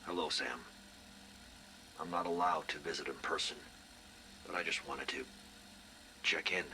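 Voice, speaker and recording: An actor playing a protective male military officer who speaks low and steady, cautioning. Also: unhurried, a little hiss or hum, heavily acted, poor audio.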